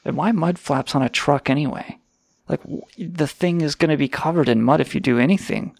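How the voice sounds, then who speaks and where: गरजती खुरदरी आवाज़ वाला व्यंग्यात्मक पुरुष होस्ट. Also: male voice, on the high side, fairly quick, creaky, darkish tone, dry recording, clean studio sound. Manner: crude, sarcastic, rambling. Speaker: radio show host. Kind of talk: irreverent comedic banter.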